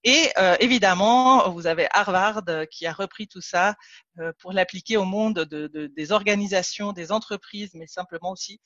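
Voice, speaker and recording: A woman's voice: medium pitch, moderate pace, fairly bright tone, no room sound. Woman from Ticino, Italian native tongue, hesitant webinar trainer; dull, dry mic. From Ticino, Switzerland. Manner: hesitant, many fillers and restarts. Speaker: trainer and consultant. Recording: dull lo-fi dry call audio.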